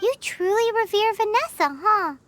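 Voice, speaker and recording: A woman voicing a tiny childlike fairy companion in a video game, squeaky, expressive and loyal. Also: normal speed, a little hiss or hum. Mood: curious, chirpy. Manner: refers to herself by name, expressive.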